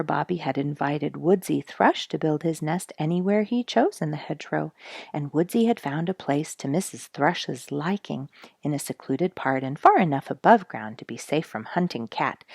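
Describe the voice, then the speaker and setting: A woman storyteller reading a children's book expressively, with deliberate pauses and casual asides. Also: low voice, vocal fry, darkish tone, slightly rough, no room sound, clean studio sound.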